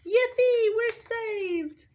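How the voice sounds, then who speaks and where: A tiny, excitable storybook fish voiced by a woman, one of a nervous school. The voice is pitched well up and bursts out in exclamations. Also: drawn-out words, dull audio, slight background noise, exaggerated voice. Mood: overjoyed. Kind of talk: readers theater storybook performance.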